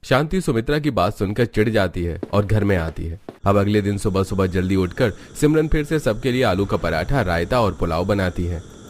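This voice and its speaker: A man's voice: on the low side, animated, normal speed, a little hiss or hum. A man narrating a scripted cartoon family story as a storyteller. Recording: music behind the voice. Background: music.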